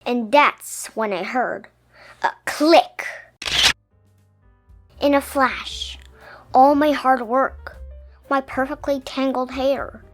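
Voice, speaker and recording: A woman narrates a children's storybook in a slightly high voice. She reads very slowly and expressively, leaning on key words with dramatic pauses. Soft music plays underneath. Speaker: picture book reader.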